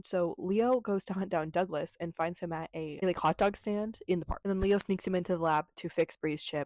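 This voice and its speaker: Young woman vlogger, quick casual talker, stuffy nose, dry close sound. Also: on the low side, darkish tone, no echo. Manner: casual, chatty, many fillers, says "like" a lot. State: congested. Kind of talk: casual recap from memory. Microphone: camera mic.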